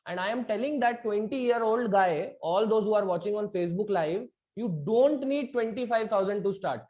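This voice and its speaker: A man's voice: high-pitched, moderate pace, a little mellow. A man with an Indian accent teaching an interactive online class, often checking that listeners follow. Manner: checks understanding with frequent tag questions. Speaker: instructor. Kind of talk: interactive online lecture switching between two languages. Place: online video session.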